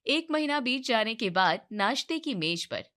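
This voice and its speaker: A woman's voice: fairly high pitch, big pitch swings, normal speed, pushed and bright. A woman narrating a scripted story.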